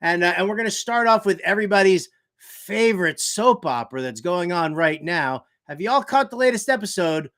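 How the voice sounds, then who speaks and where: A man's voice: high voice, lively intonation, brisk, a bit bright. A man hosting a sports talk show, giving casual comedic commentary in a joking, theatrical way with emphatic repetition. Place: shed used as home studio.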